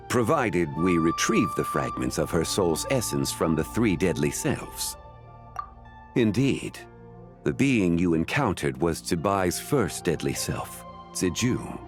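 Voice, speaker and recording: A composed, ancient male sage from a fantasy game. His voice sits low yet moves with expressive inflection, and he explains things in stately, archaic phrasing. Soft music plays underneath. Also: exaggerated voice. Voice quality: deep, measured. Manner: formal, deliberate, archaic phrasing. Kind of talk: scripted video game dialogue.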